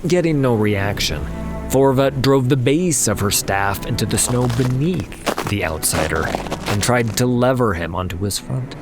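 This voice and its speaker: A man narrating a fantasy story in a measured, solemn way with weighted pauses, as in a scripted dramatic audiobook. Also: mid-range voice, expressive. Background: background music.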